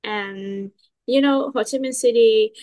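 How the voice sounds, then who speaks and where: A woman's voice: a little high, measured pace, darkish tone, no echo. A woman from Vietnam with a Vietnamese accent, an English learner hesitantly searching for words in a one-on-one lesson.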